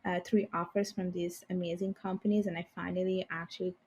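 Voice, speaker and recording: A woman's voice: fairly low pitch, level tone, a little fast, a little mellow, husky. A young woman, a native speaker of Bengali and Italian, chatting as a guest in an interview with lots of fillers and restarts. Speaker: guest, finance professional. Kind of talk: casual interview conversation.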